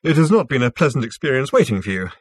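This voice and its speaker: A man's voice: mid-range voice, big pitch swings, quick pace, breathy edge, no echo, pitched above the performer's own voice. A man voicing a smug, self-assured male schemer with a businesslike, slightly hesitant manner in a science fiction storybook.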